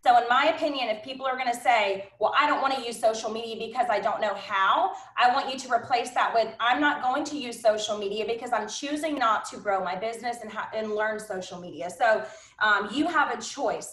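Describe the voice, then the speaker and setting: A woman's voice: a little high, fairly bright tone. A woman of thirty giving a casual business training talk, bubbly and emphatic, with lots of "like" and "honestly". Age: 30s. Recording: roomy, echoey sound.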